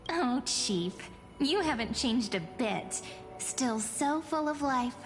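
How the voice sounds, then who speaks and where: High-voiced young fortune teller. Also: female voice, lively intonation, slow, heavily acted. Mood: fond. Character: young female fortune teller, bright, teasing, fond. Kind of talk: video game character acting. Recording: long hall reverb, faint music.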